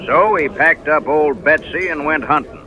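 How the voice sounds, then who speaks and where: An actor playing an excitable, yarn-spinning frontier townsman in a radio drama, folksy and emphatic. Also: fairly high pitch, normal speed, poor audio. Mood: folksy, admiring.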